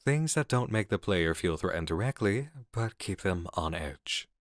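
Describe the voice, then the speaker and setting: A man playing an intense, seductive horror game designer in an intimate ASMR roleplay, speaking in deliberate, drawn-out phrases on a close mic. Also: low-pitched, lively intonation, no room sound. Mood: thoughtful. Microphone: close studio mic.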